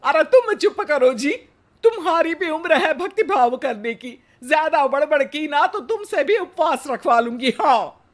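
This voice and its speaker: A woman playing a bossy, short-tempered, gluttonous old mother-in-law in a comic animated Hindi story, scolding theatrically. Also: sits high, measured pace. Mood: indignant.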